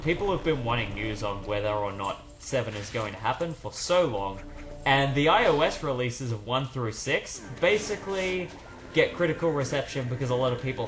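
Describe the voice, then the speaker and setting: A man's voice: medium pitch, background noise. A man giving casual gaming commentary as a let's play host, rambling off on tangents as he plays.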